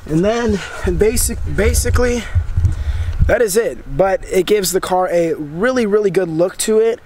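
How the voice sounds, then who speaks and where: Young guy with a sick raspy voice, kinda high, filming himself on a handheld cam, faint street noise behind. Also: unhurried. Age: young adult. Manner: excited, rambling, lots of fillers. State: hoarse from a cold. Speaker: vlogger. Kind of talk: casual vlog talk to camera. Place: outdoors by a house and inside an auto upholstery shop. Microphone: handheld camera at arm's length.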